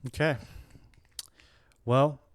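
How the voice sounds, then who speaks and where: A man's voice: drawn-out words, no echo, clean studio sound. A young adult man co-hosting a casual podcast, rambling and correcting himself as he talks. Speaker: podcast co-host.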